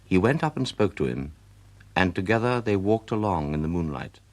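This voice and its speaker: A man with an English accent giving measured, scripted literary narration for a period radio drama. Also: low voice, expressive, darkish tone. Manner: measured storytelling.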